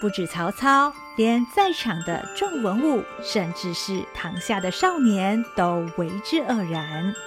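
A woman's voice: medium pitch, sing-song, unhurried, few pauses, music in the background. A woman with a Taiwanese Mandarin accent narrating a radio drama with vivid storytelling.

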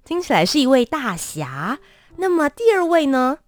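語調活潑的台灣女主持人. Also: female voice, high-pitched, big pitch swings, moderate pace, no echo, soft background music. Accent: Taiwanese Mandarin. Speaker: host. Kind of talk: upbeat interview hosting.

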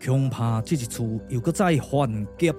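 A man with a Taiwanese accent playing a solemn, world-weary older strategist lord in stately, drawn-out puppet-theatre radio drama style. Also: medium pitch, a little mellow, soft background music, lightly acted. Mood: gloomy, resigned.

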